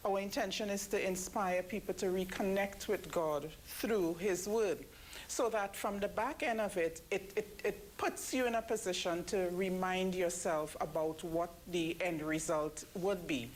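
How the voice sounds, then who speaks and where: A woman giving measured, explanatory answers as an interview guest. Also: fairly low pitch, steady tone. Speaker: interview guest, event committee member. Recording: clearly noisy background ambience.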